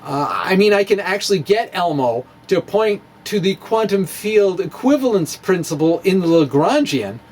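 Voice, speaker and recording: Man, physics lecture, casual and joking, fillers, slightly higher voice, faint hiss. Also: sing-song, moderate pace, hardly any pauses, darkish tone. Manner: chatty and self-amused, many fillers, chuckles at own jokes. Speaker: physics blogger giving a talk. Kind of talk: informal physics lecture at a chalkboard.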